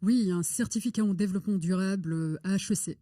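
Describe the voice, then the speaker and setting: A woman speaking as an interview guest, telling her story in an articulate, deliberate, conversational way. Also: medium pitch, warm tone, no room sound, studio quality. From born in Morocco. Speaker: interview guest, public affairs and rhetoric consultant.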